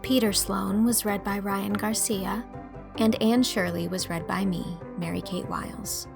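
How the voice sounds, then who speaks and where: A woman's voice: medium pitch, steady tone, measured pace, gravelly, music in the background. A woman reading scripted narration as an audiobook narrator and host.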